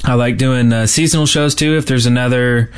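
A man's voice: mid-range voice, fairly even intonation, brisk, clear, resonant tone. A man co-hosting a podcast in casual group chat, speaking in a rambling, hesitant way. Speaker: podcast co-host.

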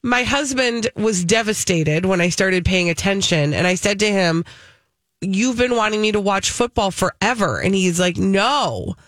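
Bright-voiced, chatty American woman on radio. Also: medium pitch, animated, unhurried, no echo. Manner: chatty, emphatic storytelling. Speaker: fill-in radio co-host. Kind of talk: casual radio chat.

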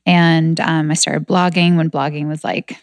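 A woman's voice: deep voice, flat delivery, measured pace, dry recording, studio quality. Chatty woman from New Hampshire. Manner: chatty storytelling, laughs often. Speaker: podcast guest, fitness entrepreneur. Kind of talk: casual interview chat.

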